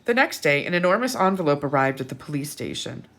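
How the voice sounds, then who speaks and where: A woman reading a picture book aloud to children expressively, pausing for asides and questions to her young listeners. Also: low-pitched, sing-song, a bit bright. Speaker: children's storytime host.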